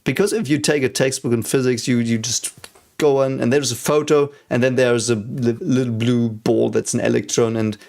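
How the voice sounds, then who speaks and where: A man's voice: medium pitch, steady tone, moderate pace, gravelly, a little mellow. A man in his thirties with a German accent, chatting casually on a live stream and answering chat, rambling with many fillers and restarts.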